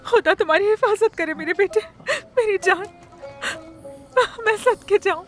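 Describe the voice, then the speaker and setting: Very high female voice, anguished devoted mother, tearful, imploring, drama dub, background music. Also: expressive, brisk, pushed and bright, heavily acted. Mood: tearful, grieving. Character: anguished, pleading mother devoted to her son.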